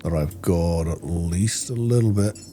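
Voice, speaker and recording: Male gamer, unhurried delivery, casual think-aloud muttering, clearly noisy recording. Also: sits low, warm tone. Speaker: gaming video host. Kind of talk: casual gameplay commentary, talking to himself.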